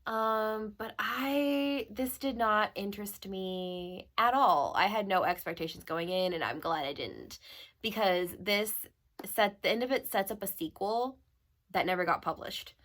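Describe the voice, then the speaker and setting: A woman book vlogger chatting casually and informally to her viewers with rambling asides, her voice a little gravelly as she recovers from being ill. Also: medium pitch, measured pace, a bit bright. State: recovering from illness, slightly gravelly voice. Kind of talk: casual chatty monologue to camera.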